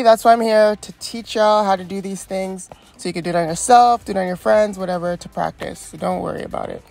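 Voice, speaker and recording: A woman's voice: fairly low pitch, creaky. Congested woman narrating a wig tutorial. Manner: chatty and encouraging, frequent ums. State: congested from a cold. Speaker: wig stylist and beauty vlogger. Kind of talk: step-by-step tutorial voice-over.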